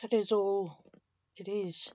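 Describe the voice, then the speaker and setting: A woman's voice: mid-range voice, fairly even intonation, slow pace, dark tone. A woman voicing a frustrated, defiant, grieving necromancer son in a story. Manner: dismissive, frustrated. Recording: roomy echo, slightly dull sound.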